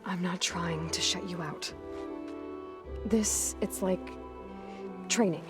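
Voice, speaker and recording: A woman playing an earnest, burdened young hunter in a video game, with a low-key, determined delivery. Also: slow, music in the background. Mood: guarded, determined. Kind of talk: naturalistic video game cutscene acting.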